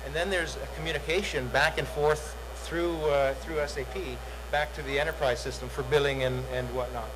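Male presenter, middle-aged, salesy conference pitch with "uh"s, slightly raised voice, noisy hall recording. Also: fairly even intonation, moderate pace, hardly any pauses. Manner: salesy, repeats words, many "uh" fillers. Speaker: product demo presenter. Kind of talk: conference tech demo. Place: large dark conference hall, on stage.

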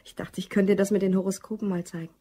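Actress as a slightly husky, curious office woman. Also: medium pitch, level tone, fast, mellow, close to an everyday voice. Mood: prying. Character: curious, businesslike female office colleague. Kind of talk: German crime radio play acting.

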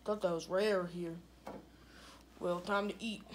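A woman's voice: fairly low pitch, fairly even intonation, unhurried, slight background noise. A woman doing an improvised comedy skit with plush toys on a living room couch, with a drawling, mumbly delivery. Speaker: puppeteer voicing plush toys.

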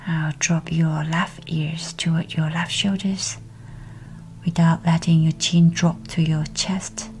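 A woman leading a scripted guided meditation in a hushed, breathy, near-whispered voice, soothing and measured. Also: low-pitched, flat delivery, slight background noise.

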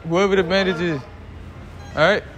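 A man's voice: high voice, steady tone, brisk, a bit bright, highs cut off. A young adult man chatting casually as a prank vlog host, slangy and peppering his talk with bro and boss. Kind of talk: casual chat in a hidden prank. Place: large warehouse store, later parking lot. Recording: noisy store and outdoor ambience.

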